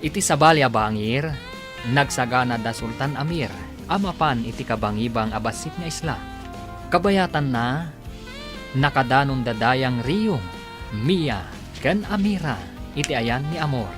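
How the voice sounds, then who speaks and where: A man's voice: mid-range voice, animated, a little slow, pauses often. A man announcing and narrating a radio drama in a scripted, theatrical style. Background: music.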